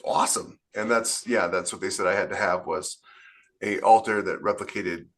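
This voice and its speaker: Low, bright-toned man chatting animatedly. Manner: animated, quick bursts, steers the talk. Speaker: podcast host, author. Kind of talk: casual podcast chat.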